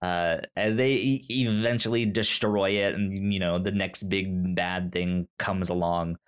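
A man co-hosting a podcast in casual chat, halting and repeating words as he searches for the next one. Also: deep voice, steady tone, clear, resonant tone. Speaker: podcast co-host. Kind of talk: casual book discussion chat.

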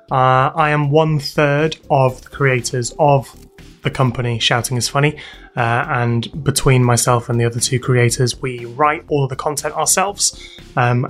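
British man explaining, music behind. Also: medium pitch. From United Kingdom. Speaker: guest, podcast sound designer and composer. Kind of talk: interview answers, explanatory talk.

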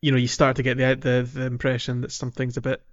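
Casting: male podcast co-host for casual game chat, rambling and understated, mid-range pitch. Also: monotone, quick pace, dull audio. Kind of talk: casual video game discussion.

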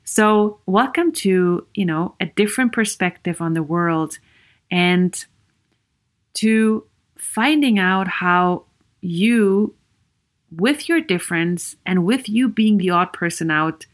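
A woman psychologist from Austria with a Viennese accent hosts her own podcast alone. She talks earnestly and encouragingly, asks her listeners many questions and fills pauses with "you know.". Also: on the low side. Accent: Viennese Austrian German. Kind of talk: solo podcast monologue.

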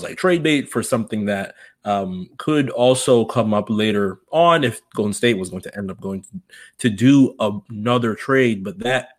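A man hosting a sports discussion, speaking in a measured, wordy way with rather formal phrasing. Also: lowish voice. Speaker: sports podcast host.

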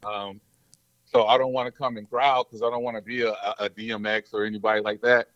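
A man from Mississippi and New Orleans, a rapper talking loudly and casually in slang. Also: on the low side, level tone, fairly quick, dry recording. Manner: loud, slangy, laid-back. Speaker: rapper guest. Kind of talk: casual interview chat. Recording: dull lo-fi dry sound.

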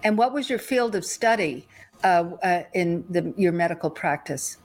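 A woman's voice: fairly low pitch, lively intonation, normal speed. Woman hosting an interview show. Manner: warm welcoming, repeats greetings. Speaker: show host. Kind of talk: interview podcast.

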